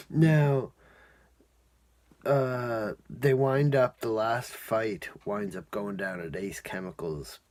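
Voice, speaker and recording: A man's voice: low-pitched, a little mellow. A man casually reviewing and recapping a cartoon episode in a rambling, informal, amused way.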